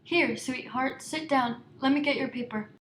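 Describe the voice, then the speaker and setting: A woman's voice: sits high, moderate pace, a bit bright. A woman playing an anxious, over-attentive housewife with chirpy, fussing chatter in a radio parody of mid-century stage drama. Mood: anxiously cheerful. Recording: echoey room with noisy ambience.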